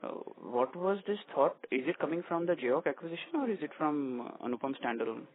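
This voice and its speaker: A man's voice: a little high, animated, fast talker. A man asking a formal follow-up question as an analyst on a conference call. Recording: tinny narrow phone line.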